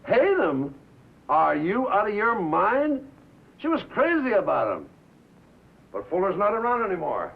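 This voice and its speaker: Casting: brash American bandleader, defensive wise guy, animated higher voice, 1950s film drama. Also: a little slow, gravelly, long pauses, husky, full character voice. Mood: scornful. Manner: slangy, cocky, punchy street talk. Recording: muffled vintage film soundtrack.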